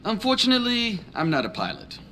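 An actor playing a brash, sarcastic heist crew member in a video game. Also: male voice, high-pitched, huge pitch swings, fast, bright, forceful tone, heavily acted. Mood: scheming. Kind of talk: video game cutscene acting. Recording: faint background noise.

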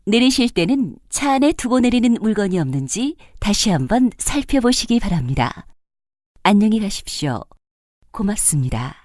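A woman's voice: mid-range voice, sing-song, moderate pace, a little mellow, dry recording, studio quality. A woman reading scripted subway announcements in a polite, formal manner as a transit announcer.

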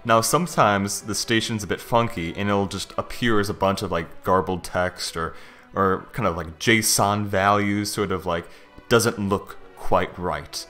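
A man giving a casual, conversational software review, informal and thinking aloud as he talks through what he shows. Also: lowish voice, steady tone, unhurried. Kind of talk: casual screen-recorded software walkthrough. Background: background music.

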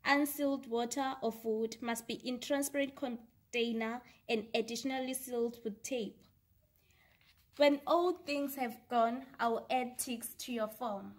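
A woman's voice: a little high, a little slow. A young adult woman giving a scripted instructional explanation as a science fair presenter. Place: classroom or lab with display boards, indoors.

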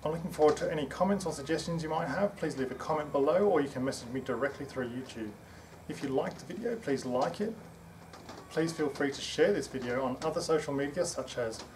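A middle-aged man hosting a video, explaining gear to camera in a plain-spoken, measured way. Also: on the high side. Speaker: host of a kayak fishing video channel. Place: outdoors in a suburban backyard. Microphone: camera mic from a few metres. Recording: distant, echoey, noisy outdoor camera audio.

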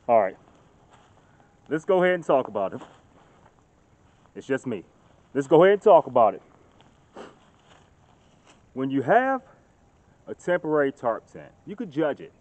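A man in his thirties talking casually to a handheld phone camera outdoors in the woods, getting loud and excited as he shows off his work. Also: mid-range voice, dry recording. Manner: chatty, gets loud and excited showing off. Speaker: outdoors vlogger. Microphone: phone camera held at arm's length. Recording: dull lo-fi phone audio outdoors.

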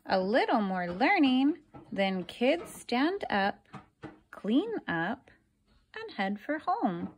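Female storytime reader, teacher type: very unhurried, lively intonation, deliberate phrasing for young listeners. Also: a little high, a little hiss or hum. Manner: deliberate, pauses between phrases, asks listeners questions. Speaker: teacher reading a picture book aloud to children. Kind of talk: expressive children's picture-book read-aloud.

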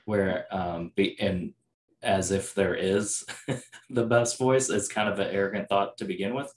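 Low-voiced man hosting in an echoey room. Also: steady tone, a little slow, slight background noise. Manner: attentive, asks curious follow-up questions. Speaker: podcast host. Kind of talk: casual interview conversation. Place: home room with bare walls. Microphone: headset.

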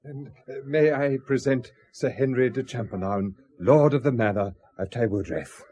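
An actor playing a formal, deferential medieval prior in a radio drama, with a husky voice. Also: male voice, medium pitch, normal speed, mellow, natural voice. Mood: obsequious, formal. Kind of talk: 1970s radio drama acting.

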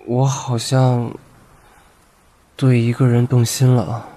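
A man's voice: medium pitch, little pitch movement, background noise, lightly acted. A young man playing a shy, reserved teenage schoolboy who speaks hesitantly in an audio comic drama. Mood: bashful. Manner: hesitant, halting.

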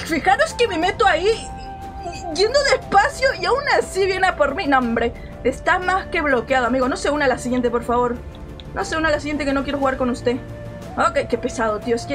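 High-pitched young woman streamer, Mexican-tinged Spanish accent. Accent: Spanish with Mexican inflections. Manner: animated, repeats phrases rapidly, complaining slangily. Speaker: game streamer. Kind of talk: casual live gameplay commentary.

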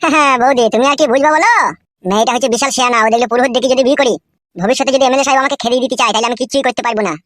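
Man as a nervous, fast-talking village gossip. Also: extremely high pitch, a bit bright. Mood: nervous, confiding. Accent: rural West Bengal. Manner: confiding, grumbling, pleading. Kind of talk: comedy cartoon dubbing. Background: music.

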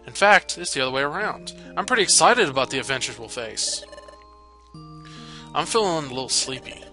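A man voicing an eager, friendly young mouse creature from a video game, earnest and excitable. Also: mid-range voice, a little fast, soft background music, low-quality recording. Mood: earnest excitement.

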